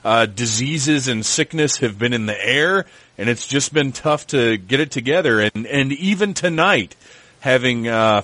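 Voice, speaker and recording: A man's voice: medium pitch, fairly bright tone, no echo. A man hosting a podcast, a little nasal and scratchy from a cold, talking in a rambling, self-deprecating way. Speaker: podcast host. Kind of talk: casual podcast chat.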